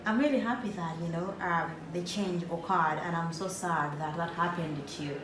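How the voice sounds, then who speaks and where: A woman hosts a casual interview, her voice sitting low and warmly encouraging. She sits away from the mic, so the room's echo and a steady background noise come through. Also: moderate pace. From Uganda. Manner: encouraging, lots of affirming hums. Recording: echoey room, far from mic, background noise.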